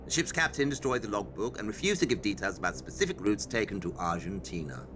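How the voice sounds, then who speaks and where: An actor playing a brisk, all-knowing male detective in an audio play, explaining things precisely and with condescension. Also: on the low side, noisy background, lightly acted. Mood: brisk, explanatory.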